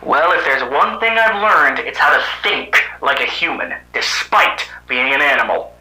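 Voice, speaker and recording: A man voicing a sardonic cyborg animal hero from another planet in an amateur animated drama, with muttered asides and exclamations. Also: a little high, normal speed, full character voice. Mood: calm, analytical. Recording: roomy, echoing voice track.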